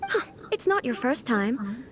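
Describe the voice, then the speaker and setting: Game goddess, female, composed, proud, contemplative, measured articulate speech, somewhat high pitch. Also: sing-song, noisy background. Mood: calm, explanatory. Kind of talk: video game voice acting.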